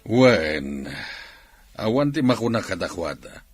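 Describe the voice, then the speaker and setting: A man's voice: on the low side, very animated, slow, no echo, faint music behind, full character voice. A man plays a blunt fellow trading gossip in a comic radio drama. His intonation moves up and down a lot, and the sound is dry. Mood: matter-of-fact. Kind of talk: radio drama comedy acting.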